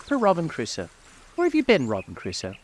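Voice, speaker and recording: A man voicing an old miser from a storybook, exclaiming earnestly in childlike excitement, between laughing and crying. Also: low voice, normal speed, slight background noise, natural voice. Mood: excited.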